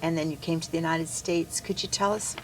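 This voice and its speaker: A woman who is the guest co-host, speaking softly and briefly when she asks her questions. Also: sits low, fairly even intonation, a little fast, slight background noise, poor audio.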